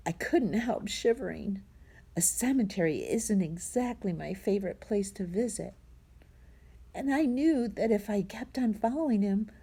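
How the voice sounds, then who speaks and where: A woman reads a children's storybook aloud with very lively, swooping intonation. Her voice is husky and soft-edged, and she takes her time, leaning into suspense with hushed, breathy drops. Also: medium pitch. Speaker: storybook reader.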